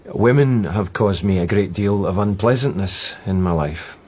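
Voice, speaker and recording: A man's voice: deep voice, moderate pace, darkish tone, slightly rough. An actor playing a prim, woman-hating male suspect in a radio drama, speaking formally and hesitantly. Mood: stiff, defensive.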